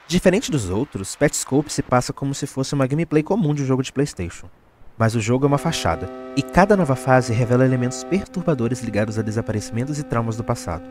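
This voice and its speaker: A man's voice: medium pitch, lively intonation, fast, darkish tone. A man with a Brazilian accent delivering scripted explainer narration in the manner of a video essay. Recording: music bed under the voice. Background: background music.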